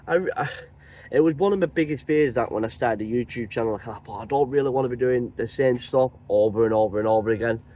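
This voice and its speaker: A man with a cold and a croaky voice chats rambling and casually in his truck cab as he drives. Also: medium pitch, darkish tone. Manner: rambling, chatty, many restarts. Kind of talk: casual vlog chat while driving.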